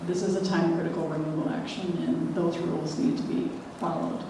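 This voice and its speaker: Brisk moderator in a reverberant hall. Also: medium pitch, flat delivery. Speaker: meeting moderator. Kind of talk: running a public meeting. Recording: echoey hall from far off, noise.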